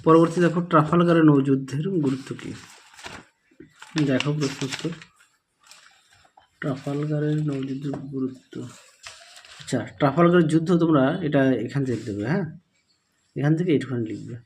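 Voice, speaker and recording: A man's voice: a little high, brisk, pauses often, a little hiss or hum, low-quality recording. A man teaching students in Bengali, walking through exam answers step by step and repeating his instructions. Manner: repetitive, instructive, addresses students directly.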